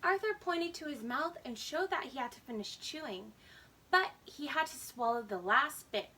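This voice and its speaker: A woman hosting storytime, narrating a children's story in an animated, expressive way. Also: high-pitched, moderate pace. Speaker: storytime host and reader. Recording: slightly distant microphone.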